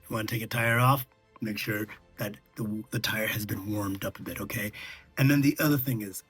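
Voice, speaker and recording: A man with a husky, slightly rough voice talks casually, as a vlogger sharing practical advice. He is conversational and often checks in with the listener. His pitch sits in the middle range, and music plays behind him. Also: fairly bright tone. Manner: conversational, checks in with "okay" and "all right". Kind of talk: casual chat, recounting lessons learned.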